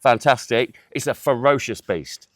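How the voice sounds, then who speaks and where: A man in his forties chats informally as an interviewer. His voice sits at an average pitch, recorded outdoors with a very dry sound and no echo. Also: normal speed. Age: 40s. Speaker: interviewer, magazine series host. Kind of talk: casual on-location interview chat. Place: outdoors in a public park.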